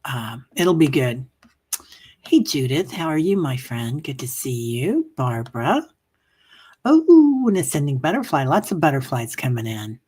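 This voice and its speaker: Low husky-voiced woman chatting on stream. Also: a little mellow. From United States. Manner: rambling, reads chat comments aloud, many fillers. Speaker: livestream host. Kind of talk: casual chat with live chat.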